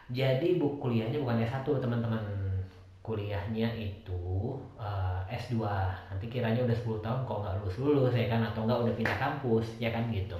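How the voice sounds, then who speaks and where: Young male podcaster, softened Medan accent, lowish voice, rambling solo chat, very echoey room. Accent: Medan Indonesian, softened. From Medan, North Sumatra. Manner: rambling, many fillers and restarts. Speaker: podcast host. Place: indoors at home, late night.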